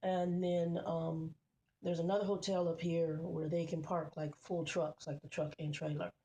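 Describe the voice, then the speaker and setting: A woman's voice: on the low side, little pitch movement, normal speed, dark tone, husky. A woman truck driver vlogging in a casual, rambling way, repeating herself and calling her viewers y'all. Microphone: phone.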